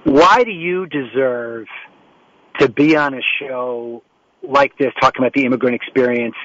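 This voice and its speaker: A man's voice: medium pitch, moderate pace, fairly bright tone, no echo. Man hosting a talk show, dry dull sound. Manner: rambling, with frequent restarts and fillers. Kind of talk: hosting a remote interview show. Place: home setup in New York. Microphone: remote call setup.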